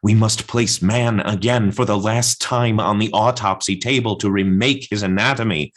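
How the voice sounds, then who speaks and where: A man performs a raving male poet-prophet in a radio play. The voice sits low and declaims deliberately. He is defiant and blasphemous, solemnly insisting on his obsessive visions. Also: fairly even intonation, natural voice. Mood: urgent, insistent. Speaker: actor in an adapted radio play. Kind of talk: theatrical radio-play monologue.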